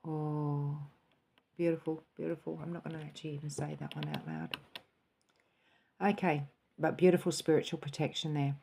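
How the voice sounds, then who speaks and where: A woman giving a spiritual tarot and cup reading in a soft, musing way, sometimes dropping into a quiet, calm voice. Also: deep voice, normal speed, creaky, pauses often, slight background noise.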